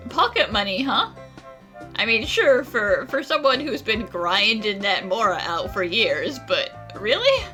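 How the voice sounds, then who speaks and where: Animated, bright-voiced woman gamer, wisecracking. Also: medium pitch, unhurried. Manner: playful, wisecracking. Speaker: gaming streamer. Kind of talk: casual game commentary. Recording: game music behind the voice.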